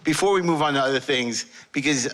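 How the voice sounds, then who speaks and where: A man with a bright, forceful voice hosts a casual panel interview. He is wisecracking as he asks his questions, in a mid-range pitch. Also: fast talker.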